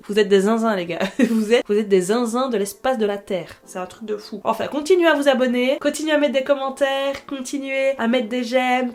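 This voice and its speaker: A woman, a mother vlogging casual life advice to her viewers with a stuffy nose from a cold. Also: a little high. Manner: chatty, addresses viewers directly with playful asides.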